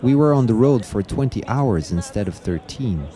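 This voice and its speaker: Lively low-voiced man narrating a documentary. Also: normal speed. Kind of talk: scripted travel documentary narration. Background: music.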